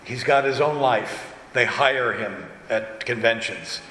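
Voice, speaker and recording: A man's voice: medium pitch, slow, pushed and bright. A man speaking as a director on a panel, telling anecdotes in a chatty, informal way. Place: indoor convention panel room. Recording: echoey hall, faint noise.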